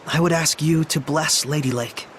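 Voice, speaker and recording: Earnest, high-voiced young male hero voiced by actor. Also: moderate pace, slight background noise, lightly acted. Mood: earnest, polite. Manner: earnest, polite. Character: earnest, kindly young shepherd hero, human youth. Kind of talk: English game voice acting.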